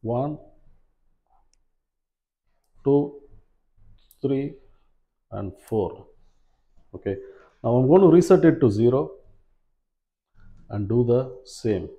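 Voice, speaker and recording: A man in his forties presenting an instructional fishing demonstration in a measured, step-by-step way, recorded indoors. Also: mid-range voice, lively intonation, narrow, tinny audio. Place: small plain room indoors, seated at a table.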